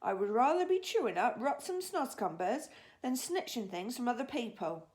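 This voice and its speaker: A woman voicing a big, gentle old male giant from a storybook who jumbles his words eagerly. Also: on the high side, big pitch swings, moderate pace, voice pushed up. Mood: proud.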